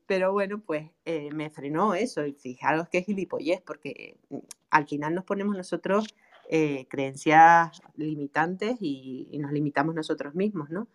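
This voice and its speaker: Low-voiced woman with a Canarian accent. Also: moderate pace. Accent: Canarian Spanish. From Canary Islands. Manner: laughs easily, many fillers and restarts. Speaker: guest expert on online marketing for writers. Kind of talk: casual conversational talk.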